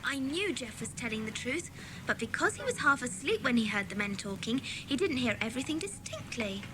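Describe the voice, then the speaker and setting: A woman's voice: a little high, very animated, fairly quick, background noise. A woman playing an eager girl detective who explains her ideas in a dramatised children's audiobook. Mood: eager, reasoning.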